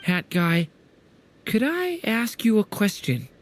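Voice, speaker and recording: A man's voice: high-pitched, big pitch swings, slow pace, full character voice. An actor playing an earnest, kind young male companion who speaks hesitantly and caringly in video game dialogue. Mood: tentative, caring.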